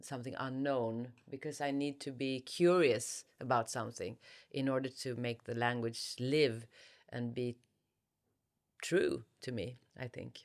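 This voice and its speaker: A woman's voice: rumbling low, steady tone. A woman giving reflective interview answers as an author, searching for her words and repeating phrases. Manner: halting, searching for words with repetitions.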